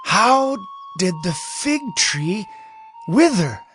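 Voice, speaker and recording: An actor playing an astonished, marveling disciple in an audio drama, speaking in a halting, amazed way. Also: male voice, sits high, big pitch swings, a bit bright, faint music behind, heavily acted.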